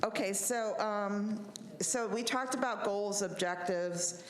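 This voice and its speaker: A woman with a loud voice at a public meeting, asking pointed, direct questions. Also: mid-range voice, slow pace. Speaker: meeting participant. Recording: echoey meeting room, faint noise.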